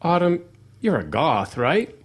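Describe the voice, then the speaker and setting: A man's voice: on the high side, very animated, takes it slowly, slightly rough, heavily acted. A man playing a hapless, nervously upbeat father in an audio drama, hesitant and placating. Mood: cajoling, upbeat. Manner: hesitant, stammering, placating, salesman-like cheer.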